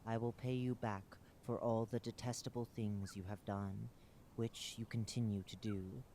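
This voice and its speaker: A man's voice: lowish voice, little pitch movement, normal speed, warm tone, slight background noise, lightly acted. A man voicing a solemn, unseen divine master who pronounces judgment in grave scriptural words. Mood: stern judgment.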